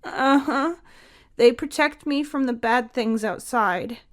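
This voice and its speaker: Audio story role: woman as small boy, innocent and sad, high voice, stammering and earnest. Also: slow pace. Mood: sniffly, shy. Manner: hesitant, stammering.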